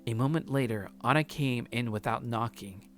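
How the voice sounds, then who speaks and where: A man with a medium-pitched voice reads visual novel narration aloud as a let's play host. Background music runs under his voice. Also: normal speed, a bit bright.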